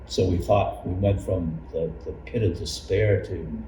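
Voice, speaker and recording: A man recalling church life in a casual group interview, haltingly rephrasing as he goes. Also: deep voice, fairly even intonation, mellow. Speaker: church member recalling past challenges. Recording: echoey room, distant mic, clear noise.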